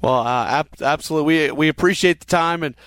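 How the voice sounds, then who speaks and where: A hoarse man with a cold hosting a sports podcast, talking casually and stopping often with fillers into a headset mic. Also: fairly high pitch, a bit bright, no echo. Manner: halting, many uh fillers. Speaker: podcast host.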